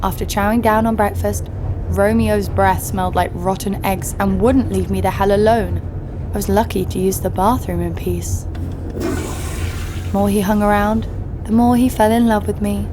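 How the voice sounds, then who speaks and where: A woman's voice: mid-range voice, natural voice. Woman acting a cocky con-artist sister, noisy background. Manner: sardonic, cocky, crude. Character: brash, scheming young woman con artist, big sister. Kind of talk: first-person narration and dialogue in audio drama.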